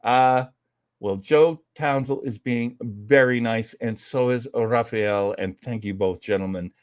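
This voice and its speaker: A man's voice: medium pitch, a little slow, dry recording, clean studio sound. A man hosting an online old-time radio show, chatting casually and ramblingly with theatrical, jokey asides. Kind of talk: casual rambling livestream chat.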